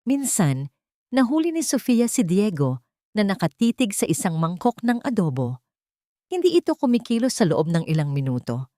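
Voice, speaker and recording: A woman narrating a scripted dramatic story in a measured, solemn manner. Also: medium pitch, big pitch swings, dark tone, no echo, clean studio sound.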